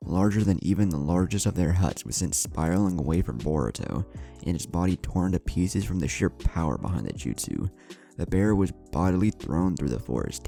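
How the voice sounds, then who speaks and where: A man with an extremely deep, creaky voice narrates a dramatic story. His delivery moves up and down expressively, and soft music plays behind him. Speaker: narrator of a fan fiction story.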